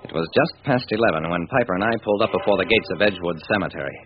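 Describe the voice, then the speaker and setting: An actor playing a wry, hard-boiled private detective narrating an old-time radio drama, on a tinny, noisy recording. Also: male voice, low voice, very fast, soft background music, natural voice.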